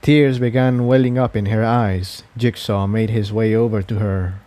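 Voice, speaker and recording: A man reading scripted story narration as a fan fiction narrator, in a measured manner. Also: on the low side, steady tone, dark tone, no echo.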